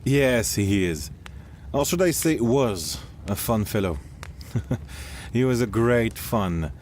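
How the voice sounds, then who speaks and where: Courteous gentleman acted by a man. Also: fairly low pitch, lively intonation, slow, a little hiss or hum. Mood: tactful, hesitant. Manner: polite, formal, deferential. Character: courteous Frenchman, gracious host of the 1700s. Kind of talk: audio drama acting.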